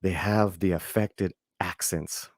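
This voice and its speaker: A man's voice: deep voice, fairly even intonation, takes it slowly, a little mellow, no room sound, clean studio sound. A man in a casual chat who rambles emphatically, restarting his sentences often.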